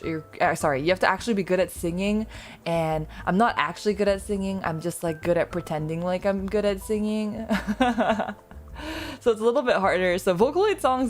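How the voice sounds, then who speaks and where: Lowish-voiced woman streamer just chatting, rambly, jokes on herself, faint music behind. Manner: rambling, self-deprecating, laughs often. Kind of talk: casual chat with viewers.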